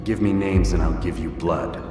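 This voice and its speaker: An actor playing a cold, proud young assassin in a video game, terse, businesslike and deadpan. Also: male voice, low voice, moderate pace, natural voice. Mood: obedient, resolute. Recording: loud music behind the voice.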